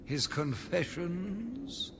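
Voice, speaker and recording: A sinister zealot's slow, theatrical monologue rolls through long reverb over a noisy ambient bed. this self-righteous game villain, swinging widely in pitch as he broods. Every phrase is weighed with fanatical disgust and conviction. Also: sing-song, heavily acted. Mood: grim, fervent. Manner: theatrical, deliberate, brooding delivery with dramatic emphasis. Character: sinister, zealous, self-righteous madman obsessed with punishing monsters. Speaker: voice actor playing a villain narrator. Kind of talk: dramatic scripted monologue, audio journal from a video game.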